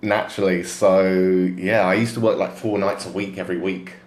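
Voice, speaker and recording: A man with a fairly low voice chats in a loud, relaxed way about his work as a magician, laughing easily. The room around him is bare and echoey. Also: fairly quick. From Hong Kong, to British parents. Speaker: close-up magician guest. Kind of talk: casual interview chat.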